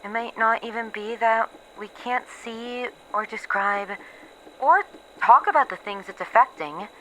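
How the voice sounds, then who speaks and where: A woman playing a weary, haunted researcher who is losing her memories, in a halting, introspective horror audio roleplay monologue. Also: on the high side, bright, forceful tone, a little hiss or hum, poor audio. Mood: pensive, unsettled. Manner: halting, introspective, pausing between short phrases.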